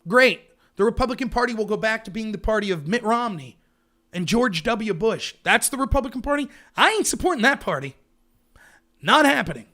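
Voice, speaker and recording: Man, very high-pitched, livestream rant, sarcastic and emphatic. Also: lively intonation, a little fast, pushed and bright. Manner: emphatic, sarcastic, rhetorical questions.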